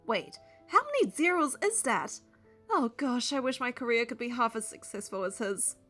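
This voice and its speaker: A young woman reads a game story aloud, switching between narration and dialogue. Her voice is fairly high and expressive, with faint music behind it. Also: moderate pace.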